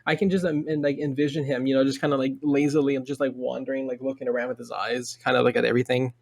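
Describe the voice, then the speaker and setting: Man, quick casual podcast talker with a noticeably creaky, gravelly voice at medium pitch. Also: flat delivery, a crowd in the background. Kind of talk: casual group chat on a podcast.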